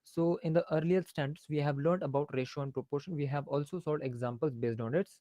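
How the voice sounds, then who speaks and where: A man's voice: on the high side, fast talker, warm tone, dry recording. A man with an Indian accent teaching maths in an online class over a headset mic. He hesitates often, fills gaps with "uh", and slips between English and Hindi mid-sentence. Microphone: faulty headphones on an online call, voice low.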